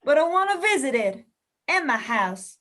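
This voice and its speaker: A woman's voice: high voice, big pitch swings, moderate pace, bright, forceful tone, heavily acted. A woman playing a stubborn, feisty old lady ghost, mischievous and insistent, in a radio play. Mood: insistent, wistful. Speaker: actor in an amateur radio play.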